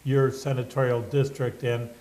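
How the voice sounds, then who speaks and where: A man's voice: medium pitch, level tone, moderate pace, warm tone, rough recording. An older man from Chicago co-hosting an interview, rambling and enthusiastic. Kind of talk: casual interview chat.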